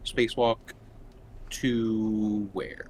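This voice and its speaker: Lowish guy chatting during a tabletop game, casual, rumbly background noise. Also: little pitch movement, very slow, a little mellow. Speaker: player in a tabletop roleplaying game. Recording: noisy, with low rumble.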